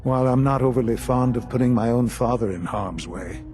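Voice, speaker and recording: An actor playing a grave older institute leader in a video game, speaking formally and deliberately with measured pauses. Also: male voice, mid-range voice, dark tone, soft background music, lightly acted. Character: grave older male leader of a secretive science institute, dying.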